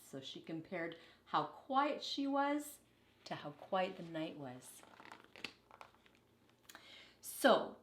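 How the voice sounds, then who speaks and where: Lady teacher doing storytime for kids, slow and expressive, mid pitch, roomy echo. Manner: expressive, deliberate storytelling for young listeners. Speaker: teacher reading a picture book aloud to children. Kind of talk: children's storybook read-aloud with brief teaching asides. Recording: echoey, reverberant room.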